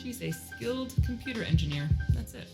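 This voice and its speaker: A woman's voice: on the high side, lively intonation, a little fast, vocal fry. An actress playing a brash, flirtatious female space pirate captain in an audio drama, teasing and mock-polite with a drawl. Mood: guarded. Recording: loud music bed under voice.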